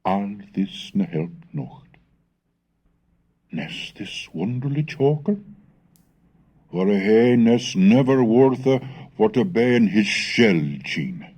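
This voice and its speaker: A man reading a scripted educational recording on language history, scholarly and measured, turning theatrical when he recites old verse. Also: lowish voice, lively intonation, darkish tone. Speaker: reader and lecturer on English language history. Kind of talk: scripted educational narration with dramatic recitation of Middle English texts. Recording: 1970s educational cassette recording.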